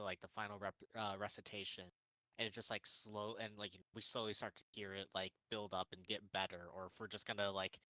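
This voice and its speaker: A man's voice: on the low side, pushed and bright, dry recording, low-quality recording. A man co-hosting a podcast, rambling through his thoughts with constant "like" fillers. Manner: rambling, heavy use of "like". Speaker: podcast co-host. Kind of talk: casual chat reviewing anime.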